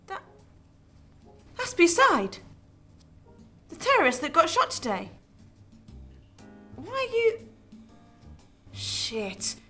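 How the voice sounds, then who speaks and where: A woman plays an insecure, suspicious girlfriend and reporter in a radio drama. Her voice is high and emotional, with faint music behind it. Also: sharp tone, full character voice.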